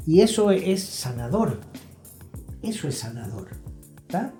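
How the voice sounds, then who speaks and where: A man's voice: fairly high pitch, very animated, slow, mellow. A man with an Argentine accent giving advice conversationally as an interview guest, emphatic and repeating phrases for effect. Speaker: interview guest, health professional. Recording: roomy echo, music behind.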